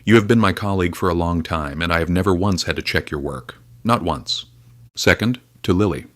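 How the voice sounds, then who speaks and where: An actor playing a dignified correspondent in an audio drama, giving a measured, formal, resolute farewell report. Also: male voice, low-pitched, fairly bright tone, no room sound, clean studio sound. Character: dignified male correspondent saying final farewell at world's end.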